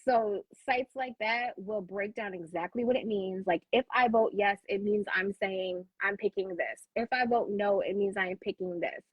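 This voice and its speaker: A woman's voice: medium pitch, moderate pace, hardly any pauses, husky, no echo, highs cut off. An American woman co-hosting a talk show, explaining things casually in an emphatic, informal and encouraging way.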